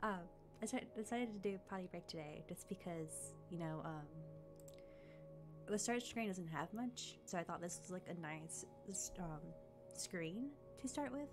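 A woman live-streaming a game, chatting casually with fillers and thanking followers, her voice slightly raspy as she comes down with a cold. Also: on the low side, expressive, unhurried, music in the background. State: coming down with a cold.

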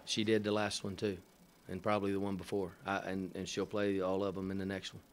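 A middle-aged man, a head coach, answering press questions after a game at a table mic, halting with many fillers and dry, matter-of-fact humor. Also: sits low, level tone, a little hiss or hum. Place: press room in front of a sponsor backdrop. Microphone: table microphone.

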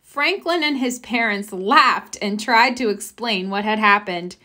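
A woman reading a children's story aloud in an expressive, careful storytelling manner. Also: mid-range voice, unhurried. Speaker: storybook reader. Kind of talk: children's read-aloud narration.

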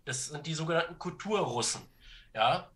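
A man speaking as a podcast guest, explaining history and politics conversationally in a halting way, searching for words and checking in as he goes. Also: on the high side, expressive, fairly bright tone.

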